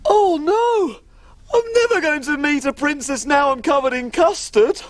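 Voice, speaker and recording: A woman's voice: high voice, sing-song, moderate pace, a little hiss or hum. A woman playing a tiny, playful, childlike cafe cook in a preschool television show, full of exclamations. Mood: dismayed.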